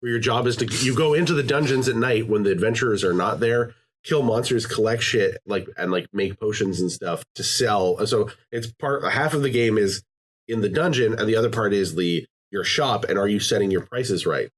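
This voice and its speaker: A man's voice: fairly low pitch, moderate pace. A man hosting a podcast, talking casually about games and rambling with many fillers and restarts. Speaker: podcast host. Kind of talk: casual chat.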